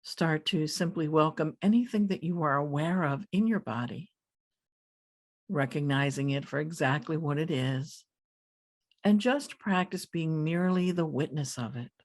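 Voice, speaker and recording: Calm woman guiding a meditation. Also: deep voice. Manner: calm, deliberate phrasing with pauses. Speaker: meditation teacher. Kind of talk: guided meditation narration.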